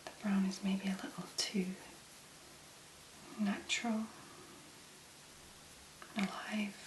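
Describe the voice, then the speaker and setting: A woman doing soft-spoken ASMR, murmuring relaxed, whispery commentary as she works. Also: mid-range voice, takes it slowly, echoey room, slight background noise, poor audio. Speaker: ASMR creator.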